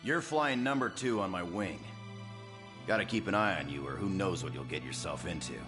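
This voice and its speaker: An actor playing a gruff, stern veteran flight leader in a video game. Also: male voice, sits low, moderate pace, over music, lightly acted.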